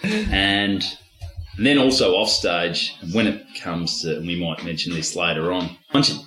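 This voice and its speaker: A man's voice: deep voice, expressive, normal speed, pushed and bright, roomy sound, soft background music, low-quality recording. A middle-aged Australian man, a stand-up comedian, chatting casually in an interview and rambling with a self-mocking manner. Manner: rambling, loses track, acts out voices.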